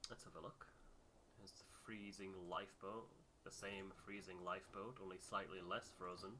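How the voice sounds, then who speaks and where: Male gaming host; casual commentary, very bright forceful tone, faint background noise. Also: low voice, flat delivery, normal speed. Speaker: game player and host.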